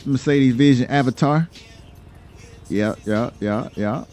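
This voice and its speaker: A man's voice: mid-range voice, slow pace, darkish tone, faint music behind. An American man giving casual, chatty gameplay commentary in a slangy, laid-back, rambling way. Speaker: gaming YouTuber hosting a roleplay video.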